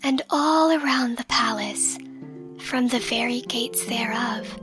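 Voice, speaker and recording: A woman voicing an affectionate catgirl girlfriend in a soft, breathy ASMR bedtime roleplay, gentle and reassuring. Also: a little high. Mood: gentle storytelling. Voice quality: soft, breathy, often whispered. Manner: tender, gentle, unhurried, reassuring. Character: affectionate catgirl girlfriend soothing her partner to sleep. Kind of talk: ASMR bedtime roleplay and slow storybook reading. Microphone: close microphone. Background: soft music.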